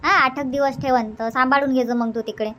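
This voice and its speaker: Woman doing a high voiced cartoon village grandma, varhadi marathi accent, pushy but hospitable, keeps insisting. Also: fairly even intonation, fairly quick, a bit bright, slight background noise. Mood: chatty, bossy. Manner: chatty, insistent, repeats herself. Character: hospitable, bossy village grandmother in a family comedy. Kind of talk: cartoon comedy dubbing.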